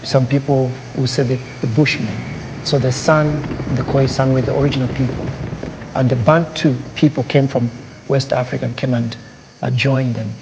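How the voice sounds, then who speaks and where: Gravelly man, measured talk. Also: medium pitch, fairly even intonation, highs cut off, noisy background. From Zambia. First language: Lugala. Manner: thoughtful, measured, with pauses and fillers. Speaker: artist. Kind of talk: documentary interview. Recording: interview with loud music behind.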